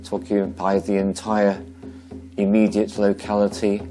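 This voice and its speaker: A man leading a guided meditation slowly and gently, pausing between short phrases. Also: fairly low pitch, flat delivery, faint music behind.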